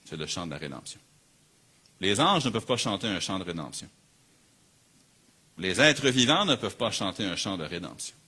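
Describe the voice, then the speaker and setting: A man's voice: low-pitched, very animated, moderate pace, pauses often, pushed and bright, slightly rough. A man with a Quebec French accent preaching a sermon as a pastor, earnest and emphatic, building his points through repeated phrases. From Canada.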